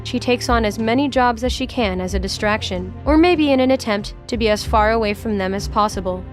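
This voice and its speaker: Higher-pitched woman narrating a drama. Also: a little fast, soft background music. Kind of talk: scripted dramatic story narration.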